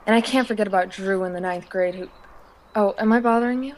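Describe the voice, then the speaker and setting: A woman's voice: medium pitch, fast talker, darkish tone, slight background noise, natural voice, rough recording. A woman acting an insecure, romantically unlucky teenage schoolgirl in an amateur audio drama, self-pitying and hesitant. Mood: sulky, defensive.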